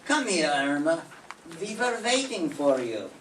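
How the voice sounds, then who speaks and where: A man's voice: a little high, very animated, fairly bright tone. Older man reading a paternal, lecturing newspaper boss. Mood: earnest. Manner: lecturing, measured. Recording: roomy classroom, far from mic, faint hiss.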